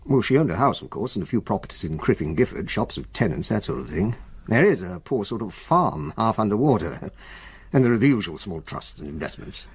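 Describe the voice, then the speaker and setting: Genial older English solicitor, swooping intonation. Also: male voice, on the low side, big pitch swings, vocal fry, husky, full character voice. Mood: instructive. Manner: avuncular, hesitant pauses, chuckling, old-fashioned turns of phrase. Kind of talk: radio drama acting.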